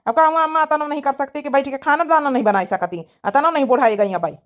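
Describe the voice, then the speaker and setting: A woman's voice: high voice, fast talker, natural voice. A woman voicing an indignant grown village son in a comedy cartoon, scolding and arguing in an Awadhi accent. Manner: scolding, argumentative. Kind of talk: comedy cartoon family quarrel.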